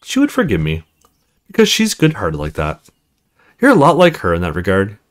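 A man voicing a cool, confiding married female neighbor from a visual novel, reading her dialogue expressively and in measured phrases. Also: fairly low pitch, wildly swooping pitch. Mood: confiding, frank.

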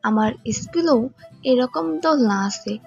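A woman's voice: sits high, expressive, a little slow, gravelly, a little mellow, lo-fi sound, soft background music, full character voice. A woman voicing a chatty, innocent little schoolgirl in an audio story. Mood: eager, friendly.

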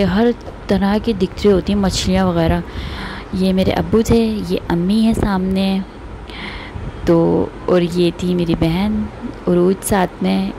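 A woman's voice: lowish voice, gravelly, noisy background. A woman, casually narrating her family outing for a vlog and recommending the place. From Pakistan. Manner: chatty, recommending, pious phrases. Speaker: vlogger.

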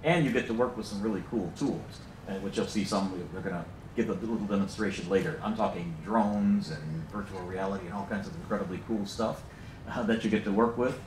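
A man's voice: on the low side, a little fast, distant mic, noisy background. A middle-aged American man, a program director, giving a chatty, joking welcome speech with many fillers, in a large lecture hall. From United States. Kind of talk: informal welcome speech to an audience. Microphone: handheld mic, filmed from the back. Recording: roomy hall, heard from afar.

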